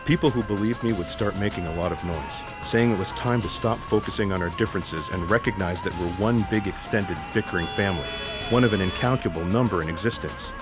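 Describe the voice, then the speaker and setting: Disillusioned male secret agent, played by a man, slightly deep, dry and matter-of-fact, sci-fi audio comedy over music. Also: brisk, natural voice. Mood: resigned, sardonic. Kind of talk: comic sci-fi audio drama acting.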